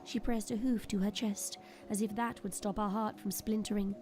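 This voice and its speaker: A woman giving dramatic scripted narration for an audio drama, expressive and suspenseful. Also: mid-range voice, normal speed. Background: prominent background music.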